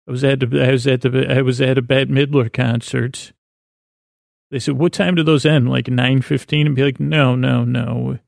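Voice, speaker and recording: A man hosting a podcast with a halting, meandering bedtime monologue in a creaky voice, often trailing off mid-thought. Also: medium pitch, flat delivery, no echo, clean studio sound. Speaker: podcast host. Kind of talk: rambling comedic bedtime monologue.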